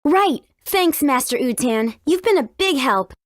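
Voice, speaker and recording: A woman voicing a confident, bossy teenage girl adventurer in a video game, brisk and wry. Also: high-pitched, animated, dry recording, studio quality. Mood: eager. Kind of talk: video game character acting.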